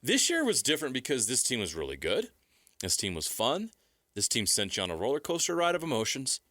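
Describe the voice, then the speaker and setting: A man's voice: medium pitch, wildly swooping pitch, brisk, bright, forceful tone, no echo, studio quality. A man with an American accent co-hosting a sports radio show, giving unscripted analysis in short, emphatic statements.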